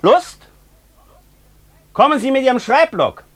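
Weary old sage, swooping intonation. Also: male voice, extremely high pitch, big pitch swings, no room sound, heavily acted. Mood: brusque, dismissive. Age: older. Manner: sententious, disdainful, deliberately simple. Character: world-weary old master Faust, aphoristic and aloof. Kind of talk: German radio play acting.

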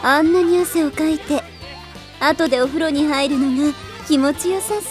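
A woman's voice: high voice, normal speed, music in the background, lightly acted. A woman playing a cheerful, teasing, easygoing girl in an anime audio drama. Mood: dreamy, playful.

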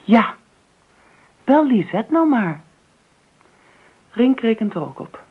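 A woman's voice: fairly high pitch, very animated, takes it slowly, slightly rough, exaggerated voice. An actress playing an insistent, coaxing woman in a Dutch radio play. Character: insistent, coaxing woman pleading with a sullen companion. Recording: tinny, phone-like old radio recording.

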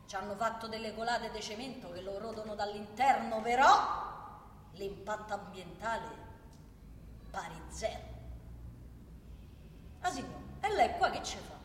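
A woman's voice: fairly high pitch, lightly acted. An actress playing a naive, chirpy woman in a theatrical radio monologue, exclaiming as if answering someone unheard. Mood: admiring. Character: naive, chirpy woman cheerfully defending high-speed rail. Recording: distant, echoey hall with loud noise.

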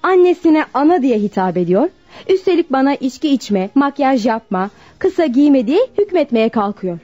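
A woman's voice: high-pitched, big pitch swings, normal speed, mellow. A woman acting a haughty, cruel young socialite in a Turkish radio drama, scornful and mocking. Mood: mocking, contemptuous. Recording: muffled lo-fi radio recording, music behind.

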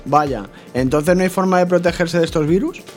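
A male co-host with a Spain accent banters in a scripted, colloquial, exclamatory way. His voice sits fairly high, with faint music behind it. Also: normal speed. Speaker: co-host, curious sidekick.

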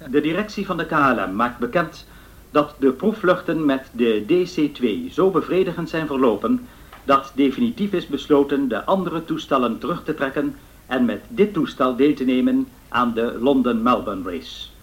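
An actor playing a solemn 1930s radio newsreader in a radio drama, announcing in a measured, formal way. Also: male voice, medium pitch, expressive, close to an everyday voice.

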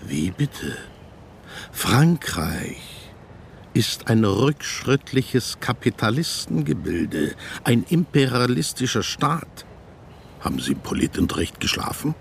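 Gravelly, sardonic army captain. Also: male voice, medium pitch, very animated, slow pace, slight background noise, heavily acted. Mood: indignant, threatening. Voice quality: gravelly, husky. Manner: clipped, commanding, sarcastic. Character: stern, sardonic East German army captain, suspicious dogmatist. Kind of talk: German radio drama.

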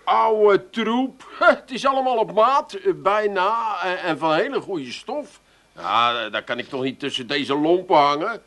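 A man's voice: high-pitched, animated, measured pace, fairly bright tone, full character voice. An actor playing a snide, fussy male customer with an Amsterdam accent in a radio play. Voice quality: teasing, fussy.

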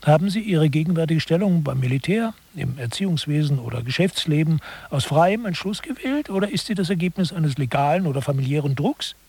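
A man's voice: on the high side, lively intonation, brisk, darkish tone, husky. A man playing an articulate lecturer who explains mock-scholarly theory in a scripted satirical radio play. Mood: explanatory. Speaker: narrator.

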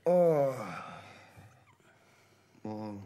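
A man's voice: medium pitch, expressive, extremely slow, mellow. An actor playing a businesslike male investigator boss in a radio drama, giving matter-of-fact instructions. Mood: businesslike, strained.

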